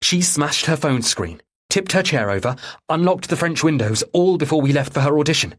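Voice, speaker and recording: A man's voice: fairly high pitch, expressive, normal speed, fairly bright tone, voice pushed up. A man voicing a tense, determined mother who speaks urgently and insistently in an audiobook thriller. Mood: insistent, desperate.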